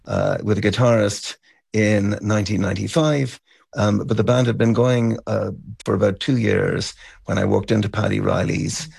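Gravelly, husky middle-aged Irish man. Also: lowish voice, fairly even intonation, normal speed, a little mellow. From County Cavan, Ireland. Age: middle-aged or older. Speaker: interview guest, band musician. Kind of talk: conversational storytelling interview.

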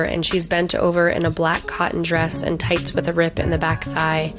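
A woman reading a scripted personal essay in a reflective, deliberate and intimate way. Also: on the low side. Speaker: narrator. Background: music.

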